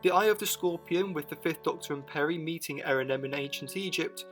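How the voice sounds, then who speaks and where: A man's voice: a little high, brisk, a bit bright. A man narrating a scripted retrospective video essay, adding his own opinions. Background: music bed.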